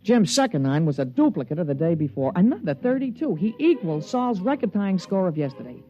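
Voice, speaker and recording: A man's voice: sits high, sing-song, brisk. A man playing a folksy, eager golf caddy in a 1950s radio drama, telling the tale in slangy, colloquial speech. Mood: wry storytelling. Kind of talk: 1950s radio drama acting, narration and dialogue. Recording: muffled vintage 1950s radio recording, music behind.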